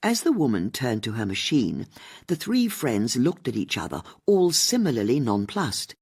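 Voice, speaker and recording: An English woman narrates a novel as an audiobook. Her voice moves widely in pitch and has a soft huskiness, with the polish of scripted storytelling. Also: very animated, moderate pace, breathy edge.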